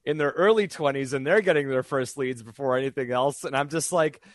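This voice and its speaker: A man's voice: medium pitch, brisk, no echo, clean studio sound. A young man in casual interview chat about voice acting, rambling with many fillers and restarts. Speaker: voice actor guest.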